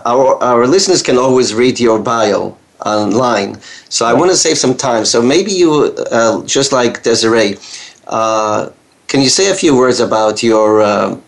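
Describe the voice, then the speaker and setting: Gravelly-voiced male radio host. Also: medium pitch. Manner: hesitant, many fillers, warm laughter. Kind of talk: radio interview.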